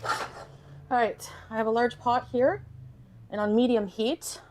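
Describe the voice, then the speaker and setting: A woman with a fairly high voice talks through a recipe in a casual vlog style. She explains each step conversationally, with slight noise and clicks behind her in a home kitchen. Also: unhurried. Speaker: cooking vlogger.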